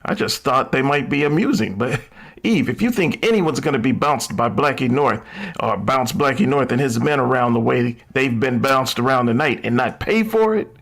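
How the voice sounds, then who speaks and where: An actor playing a cocky, reckless young thief in a vintage radio drama, with a husky, gravelly voice and a flippant manner. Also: male voice, medium pitch, lively intonation, normal speed, a bit bright. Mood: cocky, wary. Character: reckless doomed young man, cocky thrill-seeking diamond thief.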